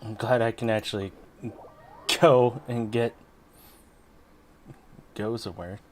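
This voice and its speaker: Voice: mid-range voice, steady tone, slow, a little hiss or hum. A game streamer giving casual, informal let's play commentary and reading along with the dialogue.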